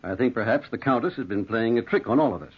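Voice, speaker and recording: An actor playing a calm, skeptical doctor, measured and reassuring, in old-time radio drama style. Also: male voice, mid-range voice, slightly rough. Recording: thin, narrow-band vintage radio recording.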